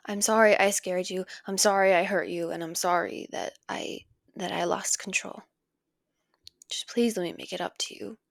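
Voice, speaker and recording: A woman playing a possessive, doting female villain in an intimate ASMR roleplay, coaxing and tender, with a breathy voice that often drops to a whisper. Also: medium pitch, fairly even intonation, moderate pace, no room sound, studio quality, lightly acted. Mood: pleading, remorseful. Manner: coaxing, tender, lingering on pet names.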